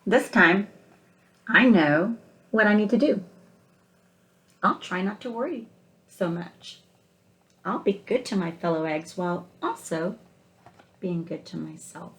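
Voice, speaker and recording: A kindly male egg tells his own storybook tale, read aloud by a woman. The delivery is earnest and expressive for children, with emphatic, deliberate phrasing in a lowish female voice. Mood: resolved.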